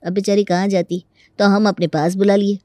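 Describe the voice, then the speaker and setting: A man's voice: high voice, level tone, fairly quick, mellow, no room sound, close to an everyday voice. An actor playing a stubborn, crafty young village man who rents a room, folksy and mock-polite as he argues in a comic story. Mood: folksy, mock-innocent. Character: stubborn, crafty young rural male tenant with cattle. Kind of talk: Hindi comic story dramatization.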